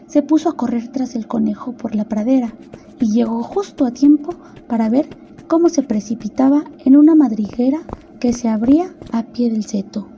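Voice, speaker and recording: A woman's voice: a little high, warm tone, highs cut off, slight background noise. A woman reading scripted storybook narration as the narrator of an audio story.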